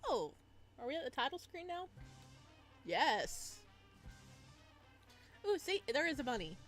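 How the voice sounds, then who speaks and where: A woman's voice: high voice, very animated, measured pace, fairly bright tone, soft background music. A woman playing through a visual novel, reading narration aloud and breaking in with casual, playful, teasing commentary. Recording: noticeable background noise.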